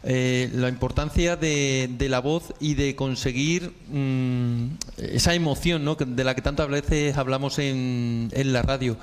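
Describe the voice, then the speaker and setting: A man's voice: medium pitch, fairly even intonation, a little slow, hardly any pauses, clean tone. A man hosting a radio panel discussion, chatty and self-deprecating, with many fillers. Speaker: radio host.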